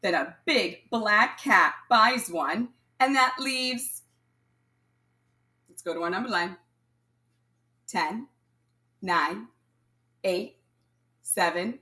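Slow-paced woman teaching young children. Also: medium pitch, lively intonation, sharp tone. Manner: instructional, addressing young children, pausing for answers. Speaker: primary school math teacher. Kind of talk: children's read-aloud lesson, counting along.

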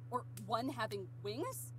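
A woman's voice: a little high, big pitch swings, slow, slight background noise. A woman voicing a skeptical, no-nonsense young park ranger in a visual novel game, blunt and exasperated.

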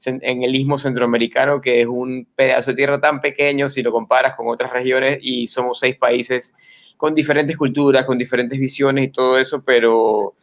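A man's voice: medium pitch, level tone, moderate pace. Young man reflecting on his film. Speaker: filmmaker guest. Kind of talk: video-call interview. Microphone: headphones with mic on a video call.

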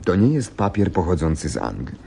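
Gentleman detective, radio drama, low-pitched, husky, animated intonation, analytical, pedantic, self-assured. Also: heavily acted. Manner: pedantic, commanding, deliberate. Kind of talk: radio play acting.

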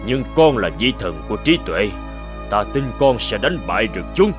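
Man as a grave old god, expressive intonation. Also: on the low side, moderate pace, over music. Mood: grave, instructive. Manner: grave, commanding, instructive. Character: solemn, authoritative old bearded male sky god. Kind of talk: cartoon fairy-tale acting.

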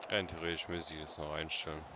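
A man's voice: extremely low pitch, completely flat delivery, quick pace. A man chatting casually and crudely while playing a roleplay game. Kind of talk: casual gaming chat with banter. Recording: very noisy game recording.

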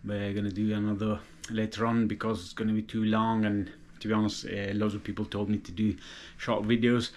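Guy vlogging his bike trip, flat easygoing voice, lots of ums. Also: on the low side. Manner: plain, unhurried, filler-heavy chat. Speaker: motorcycle vlogger. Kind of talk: casual vlog talk. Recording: loud outdoor road noise.

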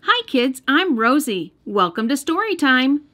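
An American woman reading a storybook aloud for young children in a gentle, careful storytelling manner. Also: high-pitched, sing-song, a little slow, slight background noise. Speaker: children's storybook reader and host. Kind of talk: scripted read-aloud narration. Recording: music under the voice.

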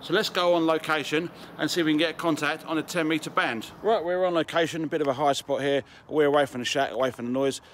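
Higher-voiced Englishman, outdoor noise. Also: fairly even intonation, quick pace, hardly any pauses, fairly bright tone. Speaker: amateur radio video host. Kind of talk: casual presenter talk to camera. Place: outdoors in a garden and open high spot. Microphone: camera or phone at about arm's length. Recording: outdoor recording with background ambient noise.

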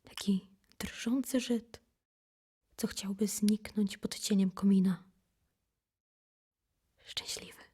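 Woman slowly, intensely reciting dramatic poetry. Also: mid-range voice, fairly even intonation, warm tone, slightly rough, whispered. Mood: hushed, anguished. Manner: intense, solemn, deliberate phrasing. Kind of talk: dramatic poetry recitation, from whisper to impassioned cries. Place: recording studio.

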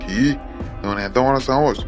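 A man playing a bullying fake ghost in a comic cartoon, making taunting, drawn-out threats. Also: mid-range voice, lightly acted. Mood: menacing. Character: fake ghost, bullying masked thug demanding gold. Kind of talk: amateur comic cartoon dub. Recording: music behind the voice. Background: background music.

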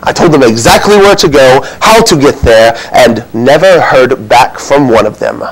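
A man's voice: medium pitch, lively intonation, normal speed, close to an everyday voice, poor audio. A man performing a comic monologue as a flustered, defensive innkeeper from biblical Bethlehem, rambling indignantly through his excuses. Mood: sheepish, explaining.

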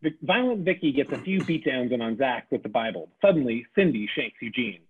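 A man's voice: mid-range voice, sing-song, a little fast, background noise. A man reading the stage directions aloud in a table read. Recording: husky, phone-like line.

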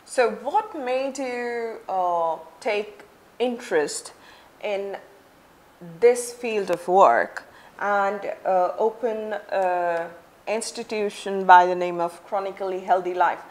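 A woman hosts a studio talk show, speaking slowly and deliberately into a lapel mic. Her questions come in careful pieces with small restarts. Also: mid-range voice. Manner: slow, measured questions with restarts. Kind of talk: studio interview. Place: TV studio set.

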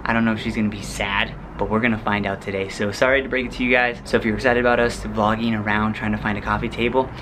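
A man's voice: fairly low pitch, little pitch movement, fast talker, few pauses, noisy background. A young adult American man chatting casually to a vlog camera, with the playful, hyped manner of a showman. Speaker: vlogger. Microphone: handheld vlog camera at arm's length.

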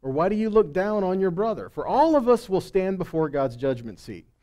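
A man's voice: sits high, big pitch swings, brisk, warm tone. A middle-aged man giving a conversational sermon as a preacher, reading scripture and explaining it with rhetorical questions and check-in words like okay and right. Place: indoor stage of a meeting room. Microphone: headset.

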